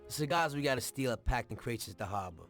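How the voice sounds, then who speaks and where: An actor playing a cocky, excitable mob henchman in a video game cutscene, blunt and slangy, over music. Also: mid-range voice, quick pace. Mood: cocky, explaining. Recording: background music under the dialogue.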